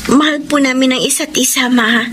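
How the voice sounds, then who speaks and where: A woman's voice: high voice, fairly even intonation, brisk, background noise, close to an everyday voice. A woman with a Filipino accent playing a sweet, lovestruck young daughter in a radio drama, girlish and emotive. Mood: earnest. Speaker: radio drama actress and narrator. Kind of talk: Tagalog radio drama acting.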